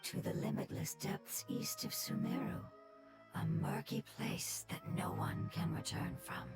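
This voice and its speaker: A woman voicing a solemn, cryptic otherworldly creature with a breathy, whispery delivery in a video game. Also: mid-range voice, expressive, measured pace. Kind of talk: scripted video game voice acting. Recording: game audio under loud music. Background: loud game music.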